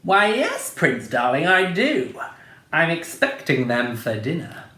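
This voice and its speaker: A syrupy, wheedling storybook witch, as a man reads her aloud. She coos and sweetens every word, her pitch swinging lively, in an echoey room. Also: slow, full character voice. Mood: sly. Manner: sugary, wheedling. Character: sly, hungry female gingerbread-house witch.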